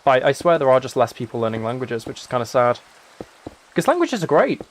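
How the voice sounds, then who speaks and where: A young British man chatting casually as he streams a game, rambling and thinking out loud as he goes. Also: mid-range voice, very animated, fast talker. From United Kingdom. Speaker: live streamer.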